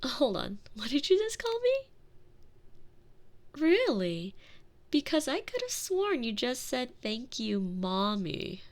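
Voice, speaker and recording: A woman acts out a tender, protective girlfriend in intimate binaural ASMR. Her pace is slow and unhurried, with a crackly fry at the edges and pitch that swings softly up and down. She comforts and dotes, often sinking into a whisper. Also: very animated, full character voice. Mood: teasing, doting. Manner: soothing, doting, breathy murmurs dropping often into whispers. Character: tender, protective, gently dominant girlfriend soothing her frightened partner.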